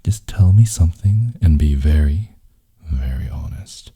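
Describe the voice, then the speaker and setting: A very deep, breathy male voice, an actor as a brooding yandere boyfriend in an ASMR audio story. He talks slowly and intimately, slipping into husky whispers with dramatic pauses. The tone is possessive and wounded, with a dark, mellow timbre. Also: animated, exaggerated voice. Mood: hurt, accusing. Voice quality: very low, breathy, husky, often dropping to a whisper. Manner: slow, intense, teasing murmur with dramatic pauses.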